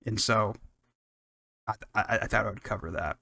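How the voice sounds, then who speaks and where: A man's voice: lowish voice, moderate pace, gravelly, sharp tone, no echo, studio quality. An American man hosting a music podcast, talking casually and enthusiastically in a rambling, unscripted way with some swearing.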